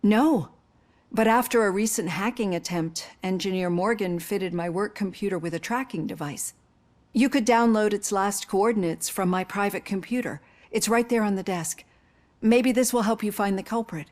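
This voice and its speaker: A woman as earnest middle-aged psychologist, mid-pitched. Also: moderate pace, darkish tone, natural voice. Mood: earnest, supportive. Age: 50s. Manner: earnest, careful, supportive. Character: earnest female psychologist in her fifties, gently probing. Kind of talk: video game voice acting.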